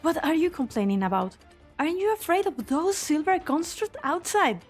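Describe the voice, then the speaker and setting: Girl doing a snippy captive doctor in a game dub, high voice, music under. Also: big pitch swings, normal speed. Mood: indignant. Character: indignant female doctor held captive, sharp-tongued. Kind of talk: amateur fan-dub game acting.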